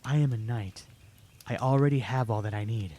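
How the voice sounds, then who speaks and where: An actor playing an earnest, dutiful young knight in a fan audio drama. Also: male voice, medium pitch, moderate pace, dark tone, lightly acted. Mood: reassuring.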